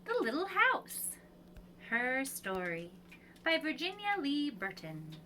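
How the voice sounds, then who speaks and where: A woman with a fairly high voice reads a picture book aloud to young children. Her delivery is very unhurried and expressive, phrase by phrase. Clear background noise sits behind her voice. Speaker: preschool storyteller.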